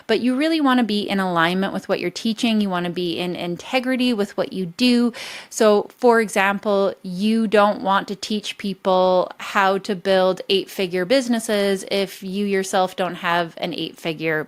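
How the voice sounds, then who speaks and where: Emphatic woman teaching a business masterclass. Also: medium pitch. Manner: emphatic, direct, addresses audience as guys. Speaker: business coach.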